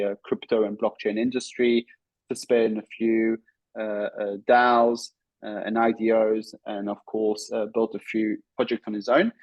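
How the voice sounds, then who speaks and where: South African man, halting, dry sound. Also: medium pitch, no echo, lo-fi sound. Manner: talks in short bursts with many "um" and "uh" pauses. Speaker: guest, startup CEO. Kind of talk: casual interview talk.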